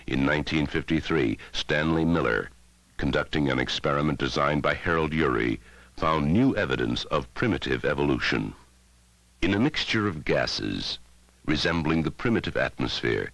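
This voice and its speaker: A man narrating a scripted educational film in a measured, dramatic way, on a 1960s film soundtrack. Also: a bass voice, gravelly, fairly bright tone, no room sound, low-quality recording. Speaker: documentary narrator.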